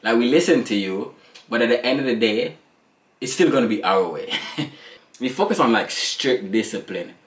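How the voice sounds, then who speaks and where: A Jamaican man in his thirties co-hosting a casual family vlog chat, animated and slangy, laughing often. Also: mid-range voice, normal speed. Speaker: family vlogger, co-host. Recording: echoey room with faint noise.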